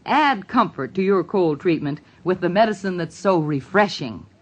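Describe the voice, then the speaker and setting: A woman's voice: lowish voice, expressive, moderate pace. A woman announcer reading a scripted 1950s radio advertisement, on a dull, lo-fi old recording. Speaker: commercial announcer.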